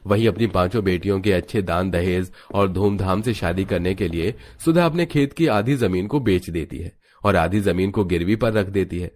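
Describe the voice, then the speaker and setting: A man narrating a cartoon story in a steady, explanatory, scripted way. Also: low voice, moderate pace, hardly any pauses.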